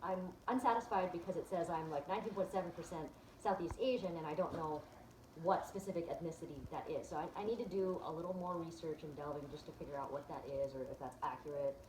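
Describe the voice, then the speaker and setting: Low-ish woman vlogging casually, lots of ums, roomy echo and noise behind. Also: fast. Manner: chatty, many ums and restarts. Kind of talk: casual chat, walking through results on screen.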